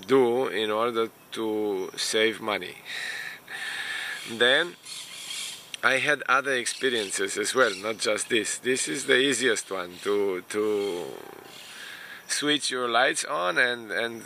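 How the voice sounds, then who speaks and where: A man whose first language is Romanian, talking casually to camera in his second language as an immigration consultant, unhurried and hesitant with many fillers. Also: mid-range voice, gravelly, sharp tone, slight background noise.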